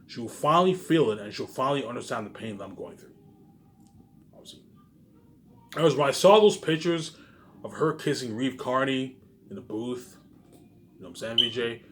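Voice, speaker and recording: A man in an unscripted, repetitive and halting monologue to viewers, sounding aggrieved and letting phrases trail off quietly. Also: medium pitch, lively intonation, slight background noise. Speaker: online vlogger.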